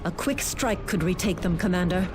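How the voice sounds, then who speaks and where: A woman's voice: lowish voice, expressive, normal speed, natural voice. A woman playing a composed governor in a video game, speaking with formal authority to a military ally. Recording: music behind.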